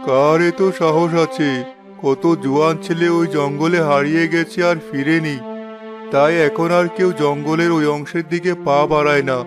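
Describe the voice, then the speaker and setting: A man's voice: on the high side, level tone, normal speed, natural voice. A man playing an elderly village uncle in a cartoon, giving grave, fatherly warnings. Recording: music behind the voice.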